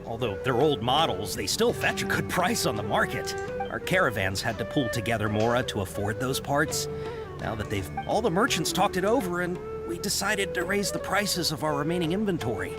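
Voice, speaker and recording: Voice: medium pitch, a little fast, natural voice. An actor playing a hesitant, fawning caravan merchant. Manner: hesitant, full of "uh"s, flattering. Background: game music.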